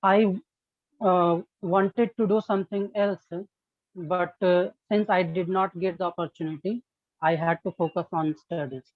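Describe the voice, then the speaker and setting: A woman who is learning English as a second language builds practice sentences haltingly. Her voice is slightly low and her delivery slow. It comes through muffled and narrow, like a phone line. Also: steady tone, no room sound. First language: not English. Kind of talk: speaking practice exercise.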